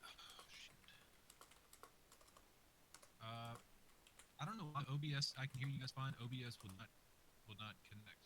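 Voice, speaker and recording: A man's voice: mid-range voice, normal speed, pauses often, fairly bright tone, a little hiss or hum, low-quality recording. A man on a gaming live stream, muttering to himself as he works through his setup. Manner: mutters to himself while fixing tech. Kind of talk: casual live-stream talk.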